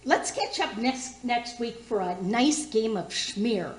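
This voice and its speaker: A chirpy, nosy older neighbour lady in a comic radio play. Her voice is high and swoops up and down in a folksy sing-song. She gushes slyly at a very slow pace, in a roomy, echoing space. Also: expressive. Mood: sugary.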